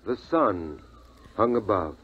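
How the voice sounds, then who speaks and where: An actor playing a steady, protective middle-aged father with a solemn, measured storytelling manner, in 1950s radio drama style. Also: lowish voice, slightly rough, heavily acted. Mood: solemn, lyrical. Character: steady, protective father keeping his family's hope alive. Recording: tinny, phone-like old radio recording.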